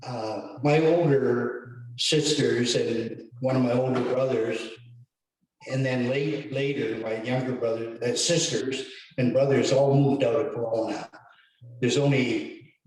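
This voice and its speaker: Slow-speaking man, echoey and far off. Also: medium pitch, fairly even intonation, darkish tone. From Canada. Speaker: guest speaker. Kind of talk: casual talk on a video call.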